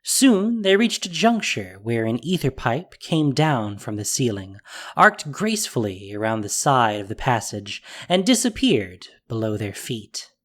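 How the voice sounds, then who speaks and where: A narrator reading a scripted fantasy story with expressive, descriptive delivery. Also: mid-range voice, measured pace.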